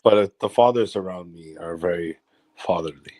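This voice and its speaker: Deep-voiced man chatting casually. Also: moderate pace, dark tone. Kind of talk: casual chat.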